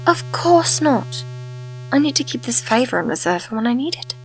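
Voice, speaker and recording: High, lively woman acting a teasing sci-fi companion. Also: moderate pace, poor audio. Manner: teasing, sardonic. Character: teasing, quick-witted female companion of a time traveller. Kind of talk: audio drama acting.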